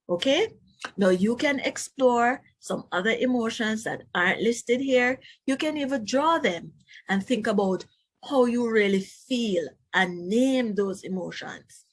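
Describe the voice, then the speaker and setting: A woman reads to young children as their teacher. Her pace is leisurely and her medium-pitched voice has a husky edge. She stresses key words expressively and speaks directly to her listeners with explanatory asides. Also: poor audio. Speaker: children's read-aloud teacher. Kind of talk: read-aloud storytelling with explanatory asides to children.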